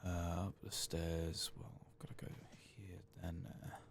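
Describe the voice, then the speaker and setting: Low, soft-spoken man murmuring ASMR commentary. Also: level tone. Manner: unhurried muttering to himself. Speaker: host of an ASMR game playthrough.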